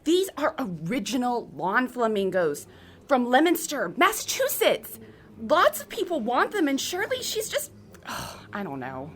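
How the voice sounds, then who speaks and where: A woman's voice: fairly high pitch, huge pitch swings, a little slow, fairly bright tone, heavily acted. A woman playing an opinionated, aggrieved suburban busybody, emphatic and huffy, in a radio comedy. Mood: aggrieved, resigned. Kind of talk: naturalistic radio comedy acting.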